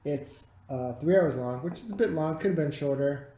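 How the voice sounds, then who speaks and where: A man's voice: fairly high pitch, moderate pace, mellow. A man chatting casually and bluntly about a film, swearing freely, with a few beers in him. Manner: blunt, dismissive, teasing. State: has been drinking beer. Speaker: podcast co-host reviewing a film. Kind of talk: casual, profane chat. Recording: roomy, echoey home recording.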